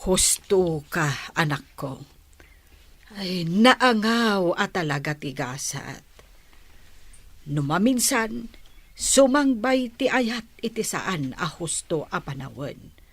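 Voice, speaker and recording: Slow, low-voiced Filipino mother. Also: big pitch swings, husky, no room sound, exaggerated voice. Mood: tender, resigned. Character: gentle, slow-spoken mother counseling her grown daughter. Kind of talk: Filipino radio drama acting.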